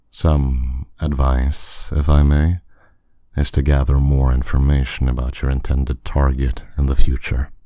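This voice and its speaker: A man playing a powerful, courteous demon captor in an ASMR roleplay, speaking with smooth, teasing, condescending authority. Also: extremely low pitch, dark tone, dry recording, clean studio sound. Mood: teasing, amused. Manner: smooth, condescending, unhurried, with endearments.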